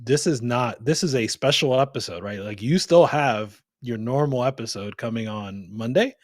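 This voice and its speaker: A man's voice: medium pitch, dry recording, studio quality. A man talking casually as a guest on a live podcast, laughing often and chiming in with short agreements. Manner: laughs often, quick affirming interjections. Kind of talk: casual live-stream chat.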